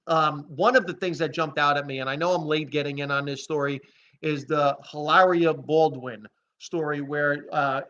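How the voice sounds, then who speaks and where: A man's voice: fairly high pitch, fairly even intonation, normal speed, pushed and bright. An American man who grew up speaking Italian gives off-the-cuff news commentary in an opinionated, emphatic, conversational way. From United States, raised by Italian immigrants. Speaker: host of a commentary vlog. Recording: dull lo-fi audio.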